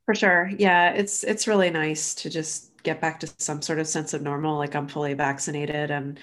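A woman's voice: low-pitched, level tone, normal speed, fairly bright tone, slight background noise. A woman in her thirties, a design educator, chatting as a podcast guest, a little nasal from allergies. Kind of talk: casual interview.

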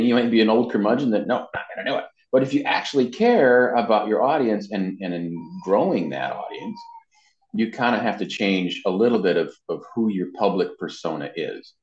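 Deep-voiced man in a muffled, echoey room. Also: expressive, brisk, darkish tone. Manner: informal, explanatory, often checks in with "you know". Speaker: interview guest, marketing and web consultant. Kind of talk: conversational interview advice. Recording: muffled lo-fi sound, roomy echo.

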